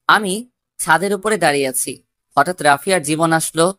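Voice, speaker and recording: A woman's voice: sits low, normal speed, fairly bright tone, dry recording, studio quality. A woman narrating a scripted first-person love story.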